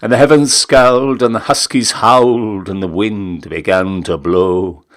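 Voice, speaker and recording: A man reciting a narrative ballad in a measured, theatrical storytelling style with deliberate pauses. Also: on the low side, fairly even intonation. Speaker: poetry reader, town crier.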